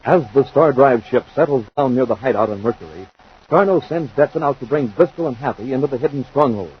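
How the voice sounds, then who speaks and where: A man's voice: mid-range voice, animated, fairly quick, husky. A man narrating a 1950s radio adventure serial in a dramatic scripted style. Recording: noisy, dull 1950s radio recording.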